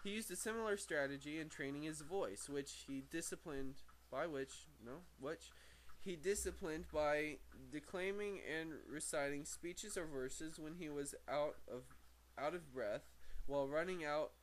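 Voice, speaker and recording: A man's voice: on the high side, measured pace, rough recording. A man reading aloud from a book as an amateur narrator, stumbling over words, correcting himself and dropping in casual asides. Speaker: amateur audiobook reader.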